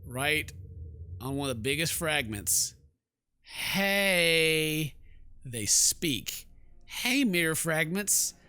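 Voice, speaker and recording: A man's voice: fairly high pitch, sing-song, very slow, long pauses, bright, forceful tone, clean tone. Jokey man narrating a horror game. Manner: jokey asides, playful slang. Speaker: streamer playing a horror visual novel. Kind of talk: let's play narration with joking commentary.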